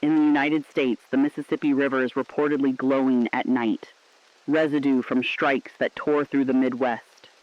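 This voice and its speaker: Dry, slightly muffled male voice, acted, mid-pitched: weary underground radio drama broadcaster, solemn, reflective, clinging to hope. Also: little pitch movement, normal speed, no echo, natural voice. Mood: grave, hopeful. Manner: solemn, poetic, reflective delivery. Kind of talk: scripted apocalyptic radio broadcast narration.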